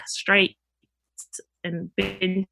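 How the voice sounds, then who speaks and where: Bright-voiced woman, easygoing chat. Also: mid-range voice, little pitch movement, very slow, gravelly, slight background noise. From Nigeria (family), raised in the UK. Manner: warm, laughs often, easygoing. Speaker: guest. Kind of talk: casual chat.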